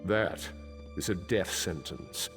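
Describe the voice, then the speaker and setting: Stern, worried dark elf patriarch, game dialogue, formal and measured, long hall reverb, faint music. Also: male voice, low voice. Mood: grave, disapproving. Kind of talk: video game dialogue acting.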